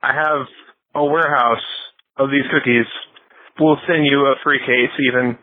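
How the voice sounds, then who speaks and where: Deadpan man with band-limited radio sound. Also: mid-range voice, moderate pace, no room sound, phone-quality sound. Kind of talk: deadpan comic cookie sales announcement.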